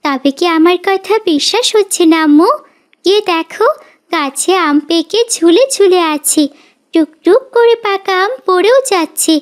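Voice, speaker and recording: Woman as a very high-pitched kindly girl. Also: moderate pace. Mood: urgent, insistent. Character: earnest, kindly young village girl.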